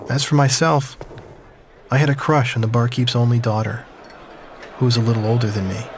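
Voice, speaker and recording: An actor playing a reflective man who recalls his wartime childhood in measured, somber game-story narration. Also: mid-range voice, flat delivery, slight background noise, lightly acted. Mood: wistful, somber. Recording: music behind the voice. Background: prominent music.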